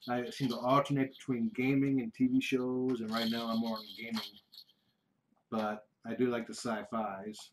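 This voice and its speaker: A middle-aged man from the United States answering viewer questions on a casual livestream, rambling and halting with frequent restarts. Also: medium pitch, level tone, slight background noise. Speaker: kitten foster livestreamer.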